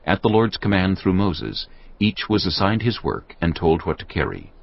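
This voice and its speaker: A man with a very low, rough-edged voice narrates scripture. His delivery is solemn and measured, yet rises and falls widely in pitch. The recording is a little dull. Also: big pitch swings, no echo. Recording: slightly dull, muffled sound.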